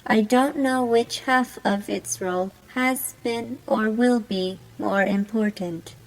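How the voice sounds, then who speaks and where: A woman playing a bored, fatalistic young troll girl in an amateur monologue, speaking formally and deliberately. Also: mid-range voice, a little mellow, pitched below the performer's own voice, lightly acted, low-quality recording. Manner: formal, deliberate, resigned wording.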